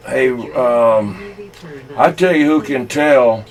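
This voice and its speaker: A man with a Southern US accent telling folksy, halting, rambling stories in casual talk over CB radio. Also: mid-range voice. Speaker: CB radio operator.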